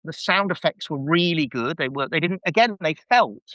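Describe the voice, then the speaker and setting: Middle aged guy gushing on a podcast, kinda high voice, really swoopy, self-deprecating. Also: lively intonation, normal speed, pushed and bright, dry recording. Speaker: podcast guest. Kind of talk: casual enthusiastic review chat.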